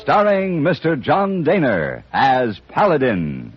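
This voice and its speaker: This is a dull, lo-fi old radio recording with faint music. A man announces in a formal, scripted mid-century style. His husky voice rises and falls dramatically as he stresses key phrases. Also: mid-range voice, sing-song, takes it slowly. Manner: emphatic, formal delivery.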